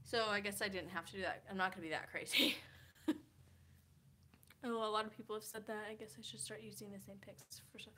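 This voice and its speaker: Bright-voiced young woman rambling casually. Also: medium pitch, steady tone, fairly quick. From Kansas, USA. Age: 20s. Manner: chatty, rambling, many "like" fillers, laughs often. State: tired. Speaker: livestreamer. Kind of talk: casual livestream chat reading comments. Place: hotel room.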